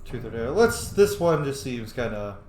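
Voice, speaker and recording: A man's voice: mid-range voice, sing-song, normal speed, a little mellow. A man chatting casually as he plays a video game, swearing now and then. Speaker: gamer playing and commenting on a video game. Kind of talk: casual gameplay commentary, reading choices aloud. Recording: echoey room, faint background noise.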